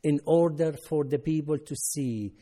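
A man from Syria, a native Arabic speaker, giving an earnest personal testimony in halting non-native English. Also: on the high side, warm tone. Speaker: ministry worker.

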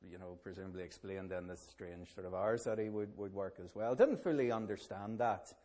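A middle-aged Northern Irish man, a minister, telling his life story at a public event in a halting way that often repeats words. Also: deep voice, dark tone. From Northern Ireland. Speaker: Presbyterian minister. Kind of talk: personal testimony at a public event.

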